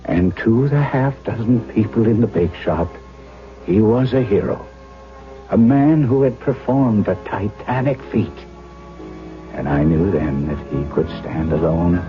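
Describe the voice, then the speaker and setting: Deep-voiced, fatherly doctor played by a man. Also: lightly acted. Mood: proud, moved. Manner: deliberate, dramatic, fatherly storytelling. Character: kindly, earnest older German doctor, fatherly mentor. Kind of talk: 1970s radio drama acting, first-person narration. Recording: muffled vintage radio broadcast, music underneath.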